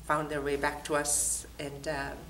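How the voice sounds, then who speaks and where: A woman's voice: sits low, completely flat delivery, unhurried, a bit bright. A woman giving short, playful answers in a studio interview. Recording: distant mic with faint noise.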